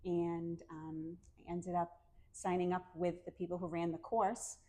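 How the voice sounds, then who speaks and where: A middle-aged woman with a New England American accent, a calm and soft-spoken podcast guest chatting casually and laughing often. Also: lowish voice, unhurried. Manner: friendly, laughs often, many fillers. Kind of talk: casual interview chat.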